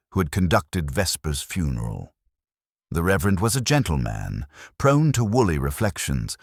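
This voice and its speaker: A man with an extremely deep voice narrates a mystery tale in measured, literary fashion. His pitch moves in lively swings, and the sound is close and dry. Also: slightly rough, dry recording. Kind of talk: scripted mystery story narration.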